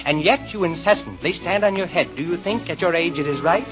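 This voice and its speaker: A man's voice: on the high side, brisk, pitched above the performer's own voice, lightly acted. A man voicing an impudent, inquisitive youth in comic storybook verse, reciting questions in a singsong way. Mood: cheeky, questioning. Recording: dull lo-fi vintage soundtrack, faint music.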